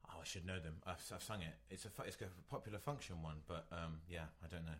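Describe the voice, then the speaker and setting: A man with a notably deep, low voice co-hosting a relaxed podcast. He talks in a meandering, hesitant way, restarting his sentences often. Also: fast talker. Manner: rambling, hesitant, with many restarts. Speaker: podcast co-host. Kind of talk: casual chat.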